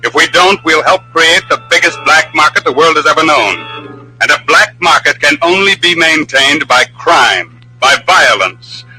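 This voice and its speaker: A man's voice: fairly high pitch, expressive, a little slow, pushed and bright, soft background music, poor audio. A man delivering dramatic, urgent scripted narration in a 1940s radio style. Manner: grave, urgent.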